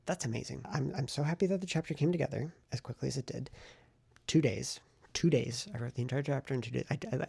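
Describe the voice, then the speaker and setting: A man's voice: mid-range voice, gravelly, darkish tone, breathy edge, no echo. A man talking casually to his audience as a novelist vlogger, effusive and repeating phrases for emphasis. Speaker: novelist vlogger documenting writing progress. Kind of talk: casual vlog chat to camera.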